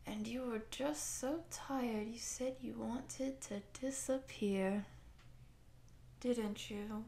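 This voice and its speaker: An obsessive, sadistic giant woman, played by a female voice actor in an intimate ASMR audio drama. Her voice sits fairly high and moves very slowly. She coos and teases possessively, often sinking to a whisper as if talking to a tiny captive. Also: vocal fry, dark tone. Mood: possessive, tender. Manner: slow, teasing, cooing and possessive, often dropping to whispers.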